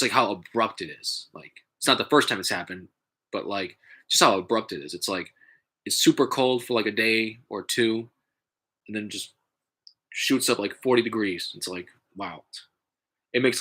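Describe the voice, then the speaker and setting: A man's voice: fairly low pitch, sharp tone. Congested man rambling on a vlog. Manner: halting, many fillers and restarts. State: congested, stuffy nose. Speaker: vlogger.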